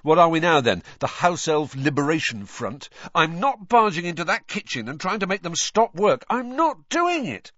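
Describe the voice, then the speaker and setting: A man voices a sardonic teenage schoolboy from a storybook. He speaks higher than a grown man, bluntly and emphatically, with a grumbling edge. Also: lively intonation, moderate pace, hardly any pauses, a bit bright, no echo, highs cut off. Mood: indignant. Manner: emphatic, complaining.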